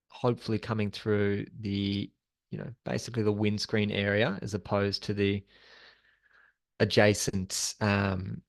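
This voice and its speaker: A man presenting a slide-based training session as an instructor, explaining things in an informal, conversational way. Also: lowish voice.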